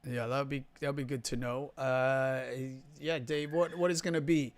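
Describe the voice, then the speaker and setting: A man's voice: mid-range voice, level tone, moderate pace, dry recording. A man in his thirties with a mixed Filipino and New York accent, joking and laughing through a casual livestream chat. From Philippines. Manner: jokey, laughs often. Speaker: livestream host. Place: home room. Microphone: studio mic on boom arm.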